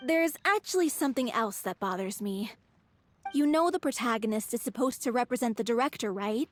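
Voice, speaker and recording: A woman's voice: a little high, expressive, fairly quick, lightly acted. An actress playing a bitter young woman from a theater troupe in a story game. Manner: blunt, bitter. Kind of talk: English game voice acting.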